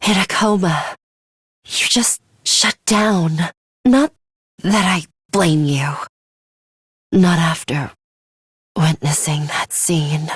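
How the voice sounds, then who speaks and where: A woman playing a stern, ruthless young female fighter in a video game, speaking in a terse, measured and commanding manner. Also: on the low side, husky, no room sound, studio quality. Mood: faint, tender. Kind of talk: scripted video game voice acting.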